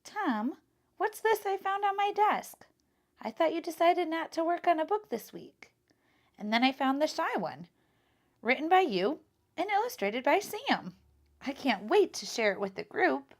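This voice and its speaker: A woman's voice: sits high, sing-song, unhurried, voice pushed up, full character voice. A woman voicing a kindly, enthusiastic librarian in a children's storybook, speaking in an encouraging, emphatic way. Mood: pleasantly surprised. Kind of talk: children's storybook read-aloud.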